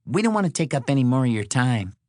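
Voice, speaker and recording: A man voicing a frank, practical young woman in an audiobook, with a quick, matter-of-fact manner. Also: mid-range voice, sing-song, no echo, studio quality, voice pushed up, close to an everyday voice. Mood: placating.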